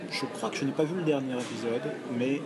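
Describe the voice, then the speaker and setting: A man's voice: medium pitch, fast. A man chatting casually as a podcast guest, hesitant and often searching for his words. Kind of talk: casual chat about TV series. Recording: loud crowd noise around the voice. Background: loud crowd chatter.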